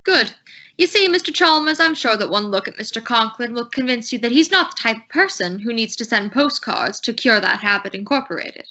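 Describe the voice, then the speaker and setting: A woman playing a stern, formal gentleman in authority, speaking in a measured way in old-time radio comedy style. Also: a little high, lively intonation. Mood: courteous. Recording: dull lo-fi recording.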